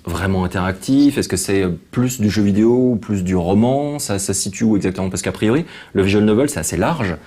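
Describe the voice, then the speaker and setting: A man's voice: lowish voice, brisk, few pauses, mellow. A man with a French accent hosting a casual interview and putting questions to his guests. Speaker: host, interviewer.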